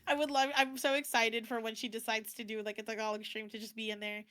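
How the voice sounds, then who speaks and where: A woman's voice: fairly high pitch, level tone, fast, fairly bright tone, no echo. An American woman chatting casually and expressively as a guest on an interview stream. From United States. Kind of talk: casual chat.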